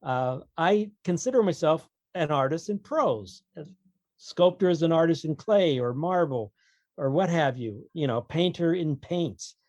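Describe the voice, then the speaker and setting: A man's voice: fairly high pitch, animated, unhurried, darkish tone, no room sound. A man talking casually as an author guest on a podcast, explaining his opinions with wry humour.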